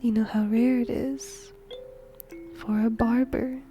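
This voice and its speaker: Woman as a slow, whispering, playful death god. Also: on the high side, little pitch movement, faint music behind. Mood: musing. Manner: gentle, musing, playful, breathy whispering. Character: whimsical female shinigami death god fond of humans. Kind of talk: whispered ASMR roleplay. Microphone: close microphone.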